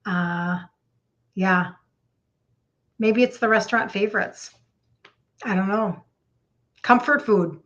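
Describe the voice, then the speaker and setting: A woman in her fifties with a Minnesota accent, hosting a casual live stream and chatting excitably with viewers by name. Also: medium pitch, slow pace, pauses often, highs cut off. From Minnesota, United States. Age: 50s. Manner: excitable, gushing, repeats phrases for emphasis. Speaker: live stream host, health coach.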